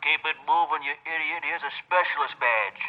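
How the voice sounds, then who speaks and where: Man as high-voiced, scolding, officious guard. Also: normal speed, lightly acted. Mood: curt. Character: officious, scolding male guard, a stickler for procedure. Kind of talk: audio drama acting. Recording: dull, band-limited radio-like sound.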